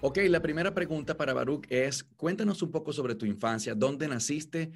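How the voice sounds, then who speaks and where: Halting male interviewer who interprets. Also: on the high side, soft background music. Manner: halting, repeats words while translating. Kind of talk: bilingual interview, translating.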